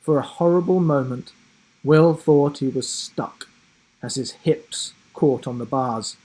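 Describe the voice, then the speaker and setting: A man reading a children's storybook aloud in a dramatic, suspenseful way with deliberate pauses. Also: on the high side, warm tone, rough recording. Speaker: children's book narrator. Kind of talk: dramatic scripted storybook narration.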